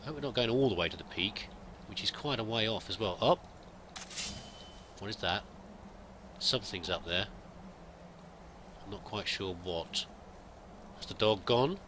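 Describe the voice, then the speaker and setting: A man's voice: fairly low pitch, animated, a little slow, pauses often, noisy background. A man thinking aloud while roleplaying a pilgrim in a video game, musing and hesitant with many restarts. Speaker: let's play gamer roleplaying a pilgrim. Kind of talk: in-character gameplay commentary.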